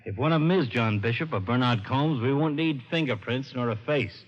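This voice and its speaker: A man's voice: mid-range voice, fairly quick, lightly acted. Radio drama police lieutenant, played by a man, mid-range voice, methodical and matter-of-fact, dull vintage recording. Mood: businesslike. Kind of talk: old-time radio drama acting.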